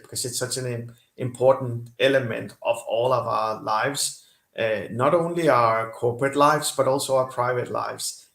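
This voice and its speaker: Gravelly man with a Danish-tinged accent. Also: mid-range voice, fairly bright tone, echoey room. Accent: Danish-influenced. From Kenya. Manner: earnest, many fillers and restarts. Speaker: guest, company CEO. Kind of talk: interview over video call.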